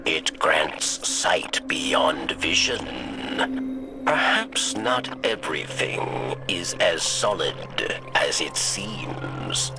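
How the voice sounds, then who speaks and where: An actor playing a solemn old alien warrior with a gravelly voice and measured, cryptic delivery in a video game. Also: male voice, very deep voice, big pitch swings, heavily acted. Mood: cryptic, calm. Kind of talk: video game cutscene acting. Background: loud cinematic music.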